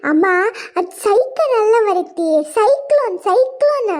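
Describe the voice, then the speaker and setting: A performer voicing a chatty, fussing village daughter in a cartoon comedy, with a Kundapura Kannada accent and exclamatory, drawn-out questions. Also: very high voice, lively intonation, a little mellow. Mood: concerned, correcting. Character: chatty village daughter phoning her mother, fussing and scolding.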